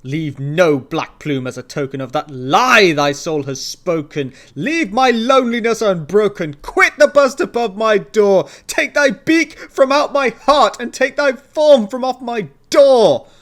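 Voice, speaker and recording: A man's voice: high voice, animated, a little slow, hardly any pauses, a bit bright, voice pushed up. A man playing a haunted, grief-maddened man in a theatrical, impassioned gothic poetry reading. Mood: shrieking rage.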